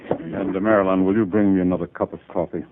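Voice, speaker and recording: Troubled businessman, late fifties, old-time radio: deep, polite, hesitant, heavily muffled recording. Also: fairly even intonation, fast talker, noisy background. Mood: troubled, courteous. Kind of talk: 1950s radio drama acting.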